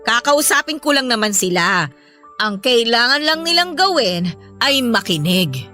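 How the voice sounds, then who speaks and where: Radio drama mother: high, very lively intonation, protective, earnest and admonishing. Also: unhurried. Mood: firm. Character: protective, worried mother gently counselling her children. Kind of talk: emotional radio drama acting. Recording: music bed behind the voice.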